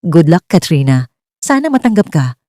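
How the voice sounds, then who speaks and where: Woman acting a flirty male supervisor, easygoing, teasing, lively swooping intonation, romance audio story. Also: on the low side, normal speed, no echo, clean studio sound. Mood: friendly, teasing. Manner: breezy, teasing.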